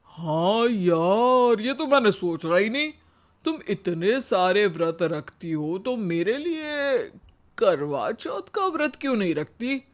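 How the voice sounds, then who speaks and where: A man acts out an old henpecked husband in a comic cartoon tale. His voice is very high for a man, and he talks slowly. He is wry and sarcastic, forever needling with mock pleading. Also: big pitch swings, heavily acted. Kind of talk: comic animated moral story acting.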